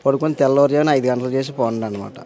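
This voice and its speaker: Quick-speaking middle-aged man. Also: medium pitch, mellow. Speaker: interviewee, bereaved father.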